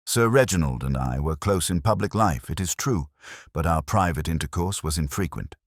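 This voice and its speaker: A man's voice: rumbling low, lively intonation, normal speed, no echo, clean studio sound. A man voicing a proud young politician in a mystery audiobook, formal, firm and defensive. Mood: courteous, guarded. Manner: courteous, formal, faintly irritated.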